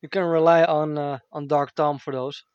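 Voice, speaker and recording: A Dutch man speaking English with his accent, his voice sitting a little high for a man. He rambles loosely with lots of fillers and giggles. The sound is dull and very dry. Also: little pitch movement, normal speed, darkish tone, no room sound. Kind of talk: casual chat.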